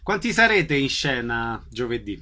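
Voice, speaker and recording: Radio interviewer, male, mid-pitched voice, putting questions to a guest and giving announcements. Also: big pitch swings, moderate pace, sharp tone.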